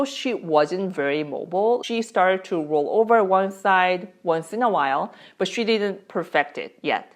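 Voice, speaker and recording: A woman in her thirties speaks English with a Korean accent, her first language being Korean. Her voice sits low for a woman. She talks in a chatty, candid way, with easy fillers and small restarts. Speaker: vlogger, new mother. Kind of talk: casual personal vlog.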